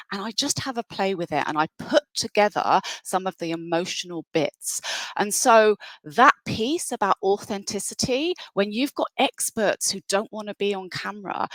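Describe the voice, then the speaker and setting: A woman's voice: medium pitch, a bit bright, dry recording. A woman presenting a webinar in an enthusiastic, conversational way. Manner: enthusiastic, conversational, with fillers and restarts.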